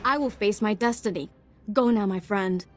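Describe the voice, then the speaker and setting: A woman's voice: mid-range voice, moderate pace, soft background music, close to an everyday voice. A woman playing a young Jedi apprentice in a science fiction game, solemn, resolute and formal in her speech. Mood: solemn defiance. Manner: noble, formal.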